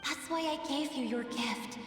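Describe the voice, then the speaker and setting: A woman playing a gentle water spirit in a video game, speaking tenderly and wistfully. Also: high-pitched, measured pace. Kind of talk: scripted video game acting. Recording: long echoing reverb, music behind.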